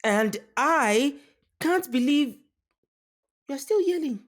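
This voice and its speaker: A man's voice: extremely high pitch, expressive, slow pace, voice pushed up, exaggerated voice. A man voicing a timid, sensible young cartoon boy adventurer with a hesitant, pleading manner. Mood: exasperated.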